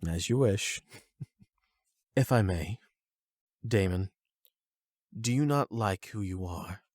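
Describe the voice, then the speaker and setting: A man voicing a calm, erudite therapist in an anime audio roleplay, speaking in a measured, formal, gently probing way. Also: on the low side, dry recording, studio quality. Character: calm, erudite male therapist and demi-human expert.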